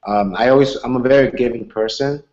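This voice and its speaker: A man's voice: mid-range voice, fairly even intonation, fairly quick, a little mellow, dull audio. Earnest young man from Argentina. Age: 20s. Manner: earnest, rambling with restarts. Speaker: MMA fighter. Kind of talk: interview answers.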